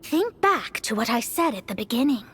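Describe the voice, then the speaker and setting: A woman's voice: on the high side, very animated, normal speed, fairly bright tone, husky, heavily acted. A woman voicing a proud, easily flustered young astrologer mage in a video game, haughty and dramatic. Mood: defensive.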